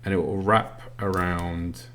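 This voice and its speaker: A man's voice: sits low, level tone, a little slow, a bit bright. A man giving a casual, unscripted product review in a hesitant, matter-of-fact way, indoors at a table. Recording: noisy home recording with clicks.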